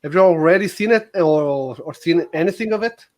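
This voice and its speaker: A man's voice: on the high side, brisk, darkish tone. A man hosting a casual livestream interview, putting questions to a guest. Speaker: host, interviewer.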